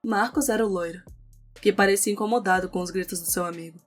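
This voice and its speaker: A woman's voice: medium pitch, fairly even intonation, fast. A woman with a Brazilian accent reading scripted narration for an audio novel. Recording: music under the voice.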